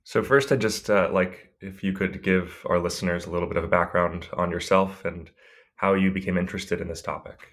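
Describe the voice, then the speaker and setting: Deep-voiced male podcast host. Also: steady tone, brisk, a bit bright. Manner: reads a formal introduction, then asks loose questions. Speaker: podcast host, assistant editor. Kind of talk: podcast interview.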